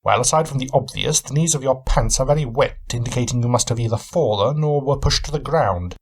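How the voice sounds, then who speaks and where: A man's voice: medium pitch, brisk, husky, voice pushed up. A man voicing a thin, keen-eyed older male detective who speaks in a formal, courteous, deducing way. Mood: probing.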